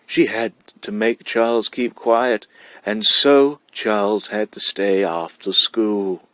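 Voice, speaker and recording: A man voicing a cheeky, boastful kindergarten boy, loud and excitable, as a storybook character. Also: mid-range voice, no room sound. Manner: insolent, excitable.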